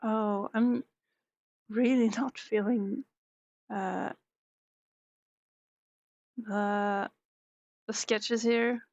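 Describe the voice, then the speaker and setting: Slow, gravelly-voiced woman streamer. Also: mid-range voice, dry recording, highs cut off. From Norway. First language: Norwegian. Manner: relaxed, reads chat aloud, trails off with fillers while concentrating. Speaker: art streamer. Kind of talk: casual live-stream chat while drawing.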